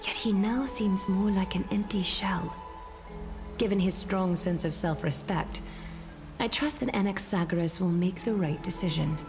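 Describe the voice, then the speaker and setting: A woman playing a stern, regal female leader in a fantasy game, formal, measured and resolute. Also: medium pitch, natural voice. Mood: cold resolve. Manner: formal, solemn, deliberate. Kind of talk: dramatic fantasy game voice acting. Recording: game music behind voice.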